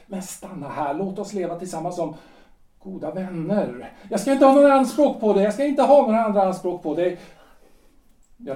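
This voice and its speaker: A husband in his forties, a lawyer, pleads with his wife and stumbles over his words, played by an actor. His voice sits fairly high but sounds mellow. It is heard from a little distance in an echoing room in this audio drama. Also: lightly acted. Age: 40s. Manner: pleading, hesitant, restarting phrases. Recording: roomy, off-mic.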